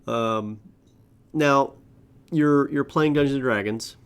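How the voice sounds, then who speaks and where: A man's voice: mid-range voice, slow pace, clear, resonant tone. An American man hosting an interview, asking questions with interest and a few hesitant fillers. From United States. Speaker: podcast host. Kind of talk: casual interview.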